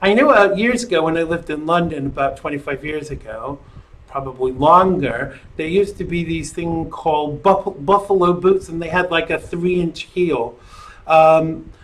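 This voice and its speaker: A man's voice: a little high, normal speed, fairly bright tone, rough recording. A middle-aged man hosting a live-stream talk show, chatting in a rambling, jokey way with lots of fillers.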